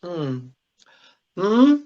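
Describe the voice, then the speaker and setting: A man playing a wry, unruffled married gentleman detective in a 1940s radio drama, with a dry delivery. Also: sits high, very animated, extremely slow, heavily acted. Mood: reluctant. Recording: dull, noisy amateur recording.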